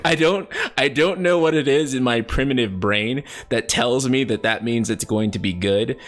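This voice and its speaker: A man's voice: mid-range voice, normal speed, fairly bright tone. A man hosting a casual live-stream chat, upbeat and chuckling, reading out viewer questions. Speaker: host.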